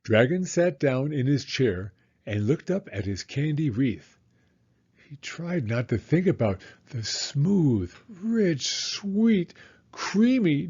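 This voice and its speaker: Slow, animated man reading a children's story. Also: on the high side, slightly rough, dull audio. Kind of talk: expressive read-aloud story narration for young children.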